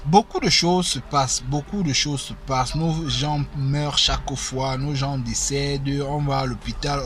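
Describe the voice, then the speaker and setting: A man, hosting his channel and talking casually to viewers with many fillers and repeated phrases. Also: medium pitch. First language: African mother tongue. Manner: conversational, repeats himself, frequent fillers. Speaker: YouTube channel host. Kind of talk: casual spoken commentary to viewers. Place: indoors in Germany.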